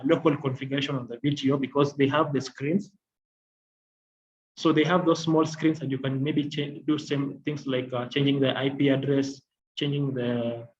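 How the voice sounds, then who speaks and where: A man giving a software demonstration as a technical trainer, explaining in a halting, repetitive way. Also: mid-range voice. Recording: dull lo-fi audio, faint noise.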